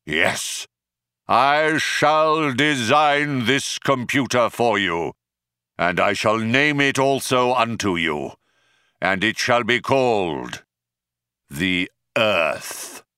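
A man plays a vast, patient supercomputer in an audiobook. The delivery is slow and grand, mild but pompously declamatory, with a bright, close, dry sound. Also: medium pitch, clean tone, no echo, pitched above the performer's own voice.

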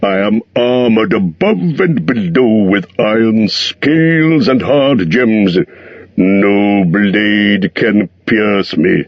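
A man voicing an ancient, huge, boastful male dragon, slow and gloating. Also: fairly low pitch, lively intonation, no echo. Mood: snapping. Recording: dull lo-fi sound.

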